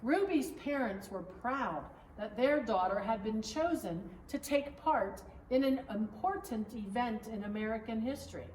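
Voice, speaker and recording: Female storybook narrator, higher-pitched and very expressive, deliberate phrasing, recorded in an echoey room. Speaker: pastor reading a storybook. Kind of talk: slow read-aloud storybook narration. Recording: echoey room, distant mic, faint noise.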